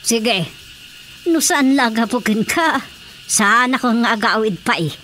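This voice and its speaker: Radio drama wife: stern, hurt, sharp bright tone, Ilocano accent, some background noise. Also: female voice, a little high, animated, a little slow. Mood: hurt, firm. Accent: Ilocano Filipino.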